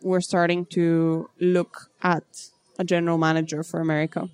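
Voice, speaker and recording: Composed low-voiced woman founder, dry sound. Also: little pitch movement, darkish tone, smooth, no room sound. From Bulgaria. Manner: measured, composed, businesslike. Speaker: startup founder. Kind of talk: interview answers.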